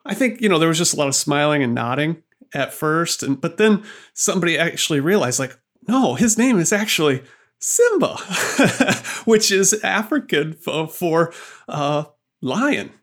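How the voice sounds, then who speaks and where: A man hosting a podcast, chatty and animated, laughing easily as he tells stories and asks questions. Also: fairly high pitch, unhurried. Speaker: podcast host. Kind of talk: podcast interview.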